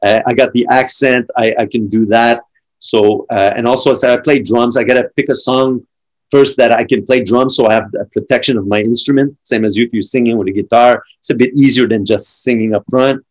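A middle-aged man from France with a French accent, a drummer chatting casually and telling animated stories full of restarts. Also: medium pitch, brisk, rough recording. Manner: animated storytelling with frequent restarts. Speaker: drummer and podcast guest. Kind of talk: casual conversation.

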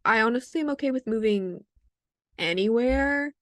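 A woman's voice: a little high, moderate pace, no room sound. A young woman co-hosting a podcast, chatting casually and a little hesitantly, with lots of fillers. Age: young adult. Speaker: podcast co-host. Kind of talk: casual chat.

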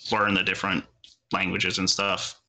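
A man from Canada, a native Portuguese speaker, chatting casually as a podcast guest in a rambling way, full of filler words and quick laughs. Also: low-pitched, monotone, gravelly, pushed and bright. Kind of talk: casual interview chat.

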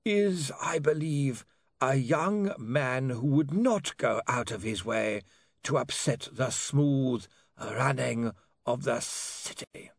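A man voicing a gloomy, reluctant legal adviser, deferential and measured, in an audiobook. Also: mid-range voice, vocal fry, no echo, clean studio sound, pitched above the performer's own voice.

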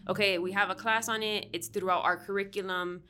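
A woman's voice: mid-range voice, fairly even intonation, a little fast, bright, forceful tone, clean tone. A woman from Miami who works as a counseling professor, explaining her research in a casual way with frequent "um" and "like". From Miami, United States. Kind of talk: conversational podcast interview.

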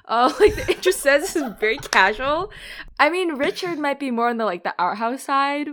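A woman's voice: sits high, animated, normal speed, a bit bright, a little hiss or hum. A woman in her twenties from Minnesota, co-hosting a casual podcast with dry, teasing humor. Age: 20s. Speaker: podcast co-host. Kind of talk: casual chat.

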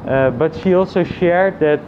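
A man thinking aloud in a casual, reflective personal vlog, with halting phrasing and frequent repetition. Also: a little high, lo-fi sound, noisy background. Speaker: vlogger.

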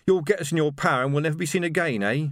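A man's voice: fairly high pitch, rapid-fire, dry recording, clean studio sound, pitched above the performer's own voice, lightly acted. A man voicing a fervent, suspicious young would-be revolutionary with an intense, accusing manner in an audiobook. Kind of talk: audiobook character voice.

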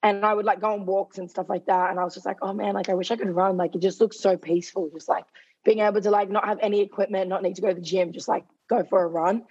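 A woman's voice: medium pitch, fairly even intonation, quick pace, a little mellow, slightly rough, muffled recording. A young woman in her twenties, a guest in an interview, talking casually and saying "like" constantly while she is losing her voice. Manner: chatty, heavy use of "like". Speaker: guest runner.

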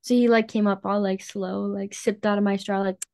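A woman's voice: mid-range voice, flat delivery, moderate pace, gravelly, darkish tone. A woman co-hosting a podcast, talking about books in an informal, conversational style with casual slang. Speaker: podcast co-host. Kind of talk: casual book chat.

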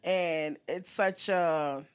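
A woman's voice: lowish voice, steady tone, drawn-out words, clean tone. A woman co-hosting a podcast, chatting casually and animatedly about music, swearing freely and breaking into song. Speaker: podcast co-host.

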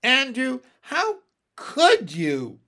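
A man's voice: a little high, big pitch swings, slow, full character voice. A man voicing a fussy, haughty lady dog owner in a storybook, indignant and wailing in her exclamations. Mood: distressed, pleading.

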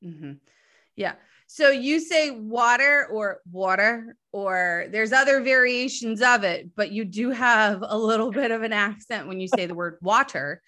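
A woman's voice: fairly high pitch, unhurried, sharp tone. A woman from southern New Hampshire hosting a casual chat show, chatty and laughing easily as she reads listener questions. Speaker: podcast host.

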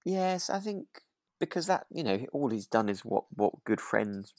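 A man co-hosting a relaxed, casual chat in a thoughtful, musing way. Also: medium pitch, normal speed, no room sound, clean studio sound. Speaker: podcast co-host.

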